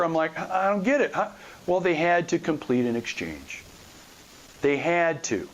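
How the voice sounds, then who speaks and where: A man with a notably high-pitched voice speaks as a podcast host giving advice. He is blunt and colloquial, leaning on repeated phrases and rhetorical questions to drive points home. The delivery is an informal, emphatic monologue aimed straight at listeners. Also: highs cut off. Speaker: podcast host and real estate investor.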